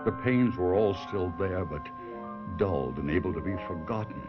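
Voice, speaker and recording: An actor playing an anguished stage performer and husband in an old-time radio drama, husky and theatrical. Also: male voice, low voice, expressive, normal speed, loud music behind the voice. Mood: haunted narration. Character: despairing, tormented middle-aged stage ventriloquist husband. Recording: muffled vintage radio drama recording.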